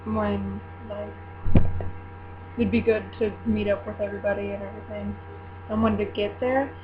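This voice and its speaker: A woman's voice: mid-range voice, little pitch movement, moderate pace, phone-quality sound. A young woman vlogger talking casually, rambling through her plans with many fillers. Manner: rambling, filler-heavy planning talk. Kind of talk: casual chat. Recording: mains hum behind voice.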